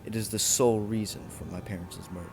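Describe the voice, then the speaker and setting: A man acting a calm, suave male vigilante in an amateur radio play. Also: fairly low pitch, fast, faint music behind, close to an everyday voice. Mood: earnest, measured.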